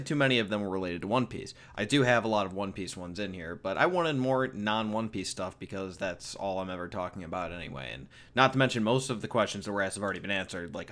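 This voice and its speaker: Give me a young man with a fairly low voice, chatting loosely and cracking jokes. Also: animated, fast talker, a bit bright. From United States. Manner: rambling and jokey, with fillers and repeats. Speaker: YouTuber answering viewer questions. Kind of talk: casual Q&A vlog.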